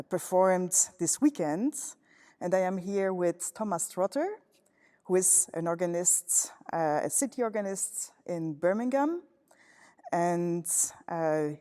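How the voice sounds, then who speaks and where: A woman from Toronto, working as a musicologist who asks interview questions. She speaks haltingly, searching for words with many fillers. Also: fairly low pitch, mellow. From Toronto, Canada. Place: large church. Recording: long church reverb.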